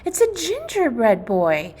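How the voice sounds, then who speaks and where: Woman voicing high, excitable young puppies. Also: unhurried, a little hiss or hum, rough recording. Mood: thrilled. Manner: exuberant, yipping. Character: excitable, rowdy young puppies barking with joy.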